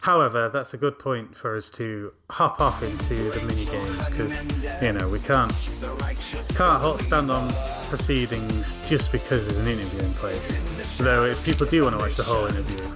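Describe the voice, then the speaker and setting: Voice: medium pitch, normal speed. A streamer giving casual commentary in wry, muttered asides. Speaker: streamer commenting over a played interview. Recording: loud music behind. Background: loud music.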